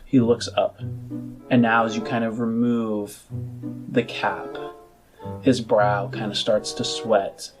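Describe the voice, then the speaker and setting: Male game master, unhurried and lowish, describing scenes in measured fragments over a music bed. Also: level tone, low-quality recording. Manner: halting, measured phrases. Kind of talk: improvised tabletop game narration.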